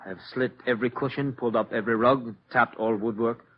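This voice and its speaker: A man's voice: mid-range voice, steady tone, fast, lightly acted. Muffled, earnest police assistant, acted by a man. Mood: defeated. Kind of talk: old-time radio drama acting. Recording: muffled old radio recording, faint noise.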